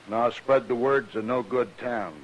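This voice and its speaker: An actor playing a gruff, aggrieved Texas trail boss in an old-time radio western, blunt and challenging, with folksy cowboy grammar. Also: male voice, medium pitch, steady tone, normal speed, lightly acted. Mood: defiant. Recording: dull, hissy old radio recording.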